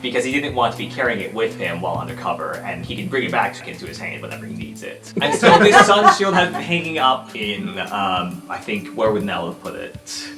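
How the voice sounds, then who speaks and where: Male game master, animated pitch swings, informal explanatory improvised narration, amused, roomy sound. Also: brisk, hardly any pauses. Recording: echoey room with music underneath. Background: music.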